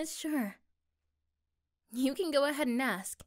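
Woman acting an affectionate girlfriend, ASMR roleplay, high voice, very slow, hesitant and gentle. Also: heavily acted. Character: affectionate, anxious, curious girlfriend.

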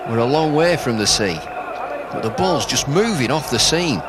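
A man's voice: medium pitch, lively intonation, normal speed. A man commentating live on television cricket in terse, understated remarks. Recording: noisy archive broadcast, loud crowd. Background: loud stadium crowd noise.

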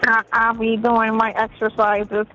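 A woman in a casual private phone chat, low-key and giving short replies. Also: monotone, measured pace, bright, forceful tone. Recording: dull recorded jail phone call.

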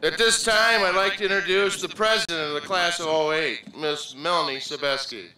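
Middle-aged man emceeing with a bright, high voice. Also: moderate pace, far from the mic. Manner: joking, informal announcing. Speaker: acting high school principal. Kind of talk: ceremony emcee. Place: outdoor stadium field. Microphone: podium microphone. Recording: echoey PA heard from afar.

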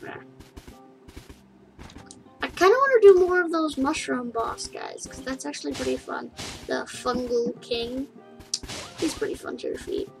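A woman casually narrating her play of a game for viewers, rambling and chatty, with giggles and excited yelps. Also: sits high, gravelly, rough recording. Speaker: gaming YouTuber. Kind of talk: casual let's play commentary. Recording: game music under the voice.

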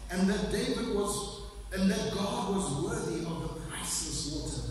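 An older man preaching a sermon from a pulpit, with emphatic pauses that stretch out key phrases. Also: high-pitched. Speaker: pastor. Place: small church hall with stage and pulpit. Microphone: room mic at a distance. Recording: echoey hall, distant mic, faint hiss.

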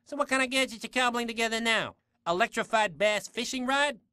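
A man's voice: very high-pitched, a little fast, bright, forceful tone, clean tone, no room sound, studio quality. A man playing a chatty, eager little undead sidekick in a game cutscene, chirpy and glib with constant jokes. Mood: wisecracking. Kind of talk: video game cutscene acting.

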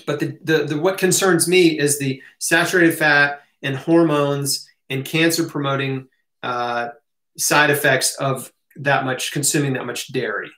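Higher-pitched American man in an echoey room. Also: steady tone, a little slow, bright, forceful tone. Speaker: host answering audience questions. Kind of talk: casual Q&A talk to camera. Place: small home office, indoors. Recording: roomy echo of a home room.

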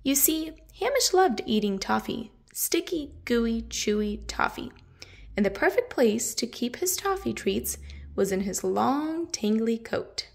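A woman narrates a picture book for young children in a high voice. Her pitch swings widely and she takes her time, lingering on descriptive words. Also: gravelly. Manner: animated, drawn-out emphasis on descriptive words. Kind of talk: expressive picture-book read-aloud.

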